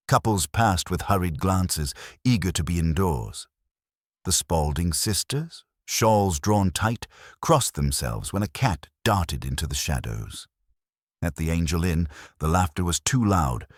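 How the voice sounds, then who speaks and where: A man narrates a detective story in a very deep voice. His delivery is deliberate and literary, yet the pitch moves in a lively way. The tone has some huskiness. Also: no echo, clean studio sound. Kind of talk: scripted audio mystery narration.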